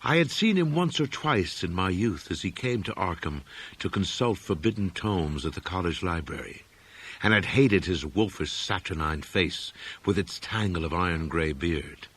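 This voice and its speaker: A man reading gothic horror fiction as an audiobook narrator, in measured, dramatic phrases that often fall away at the end. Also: low-pitched, lively intonation, a bit bright, husky.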